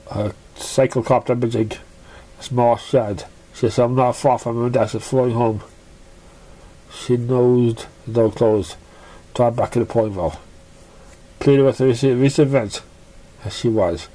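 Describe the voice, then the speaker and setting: A storyteller narrating fan fiction expressively, with a somewhat halting, stumbling delivery. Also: medium pitch, mellow, poor audio.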